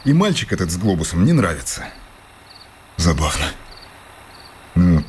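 A restless village schoolteacher in a radio drama, speaking very low. He is wry and brooding, with an ironic edge, over the faint noise of crickets. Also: sing-song, measured pace, a little mellow. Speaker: actor. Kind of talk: radio play acting.

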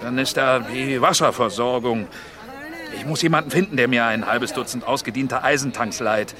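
A man's voice: medium pitch, moderate pace, a crowd in the background, natural voice. An actor playing a pushy, boastful male trader in a German radio play, talking in an emphatic, brash hard sell.

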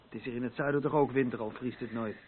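A hospitable, frank man, played by an actor, talks as a protective friend in a radio drama. His voice is mid-pitched, on a thin, phone-like recording with light noise. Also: steady tone, quick pace, natural voice. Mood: welcoming. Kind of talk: radio play acting.

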